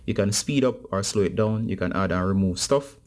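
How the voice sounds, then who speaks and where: A man with a low-pitched voice hosts a tech tutorial. He talks viewers through each step in an informal, instructional way, as if chatting to a group of guys. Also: fairly quick. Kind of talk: casual step-by-step phone tutorial.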